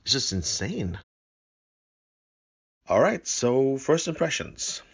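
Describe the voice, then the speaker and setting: A man's voice: mid-range voice, very animated, slow, husky, muffled recording. A man presenting a video review in an earnest, wordy way, with rather formal phrasing. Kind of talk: scripted review narration with enthusiastic unboxing remarks.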